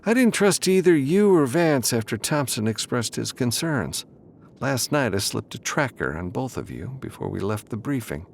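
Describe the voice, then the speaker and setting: A confident, teasing freelancer woman from a space western , voiced by a male narrator. The delivery is lifted in pitch, playful and assertive, with faint noise behind it. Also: very animated, moderate pace, gravelly. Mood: matter-of-fact.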